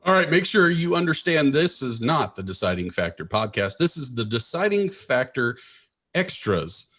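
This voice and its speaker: A man's voice: mid-range voice, big pitch swings, normal speed, fairly bright tone, husky. A man co-hosting a casual podcast, teasing his co-host and joking around. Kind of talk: casual banter.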